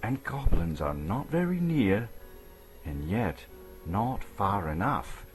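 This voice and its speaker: Small storybook hobbit played by a man, nervous yet resolute, emphatic self-talk with lively swings in pitch. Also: takes it slowly, faint music behind. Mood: wary musing. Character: small frightened hobbit, thinking to himself, bracing his courage. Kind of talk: dramatic storybook reading.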